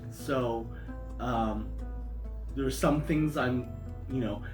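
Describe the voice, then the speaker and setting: A man in his fifties, a guest musician, talking in a reflective, halting way with many restarts. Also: medium pitch. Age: 50s. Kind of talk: casual conversation. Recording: roomy sound with guitar music behind.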